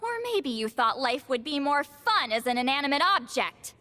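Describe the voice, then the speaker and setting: An actress playing an imperious, sharp-tongued shaman high priestess in a video game, with a theatrical, commanding manner. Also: animated, moderate pace, a little hiss or hum. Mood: sardonic, scolding. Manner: solemn incanting, dry sarcastic scolding.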